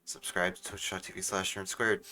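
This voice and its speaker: Bright-voiced wisecracking male streamer. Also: low voice, steady tone, normal speed, faint music behind. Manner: wisecracking, mock-indignant. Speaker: streamer playing a video game. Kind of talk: casual joking game commentary.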